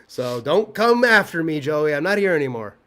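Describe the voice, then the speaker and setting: A man's voice: a little high, moderate pace. Profane, fired-up man ribbing a friend. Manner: profane, animated teasing. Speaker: podcast guest. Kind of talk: casual podcast banter.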